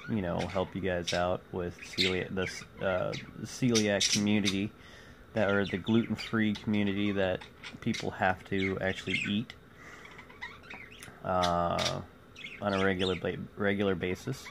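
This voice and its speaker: A man in his forties chatting casually to viewers in a halting, rambling vlog, recorded on a phone indoors. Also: low voice, level tone. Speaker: vlogger. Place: small cluttered room indoors. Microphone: phone at arm's length. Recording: noisy phone recording, clear background noise.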